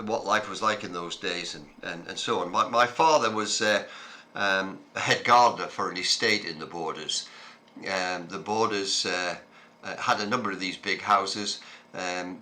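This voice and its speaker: Bright-voiced older man reminiscing. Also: low-pitched. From Scotland. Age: 70s. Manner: unhurried, reflective storytelling with frequent ums and uhs. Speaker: interviewee. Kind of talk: reminiscing conversation.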